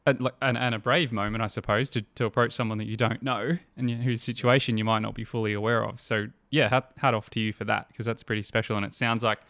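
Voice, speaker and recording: A man's voice: fairly low pitch, little pitch movement, fast, hardly any pauses, a bit bright. A man hosting a conversational interview, speaking reflectively and affirmingly with hesitant, searching phrasing. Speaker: interviewer, host.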